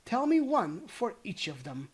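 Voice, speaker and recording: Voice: medium pitch, very animated, a little slow, husky, pitched above the performer's own voice. A storybook reader voicing a jovial, boastful old male goblin patriarch. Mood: jovial, commanding. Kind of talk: storybook character voice.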